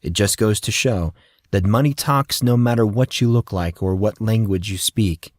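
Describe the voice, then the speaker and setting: A man's voice: on the low side, normal speed, no room sound, studio quality. A man reading scripted fiction as an audiobook narrator.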